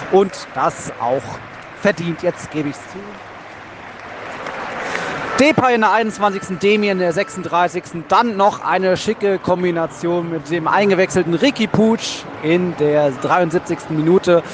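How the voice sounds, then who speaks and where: A man hosting a podcast, giving live football commentary from the stands. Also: sits high. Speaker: podcast host. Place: outdoors in a football stadium. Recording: loud stadium crowd and noise. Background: crowd noise and applause.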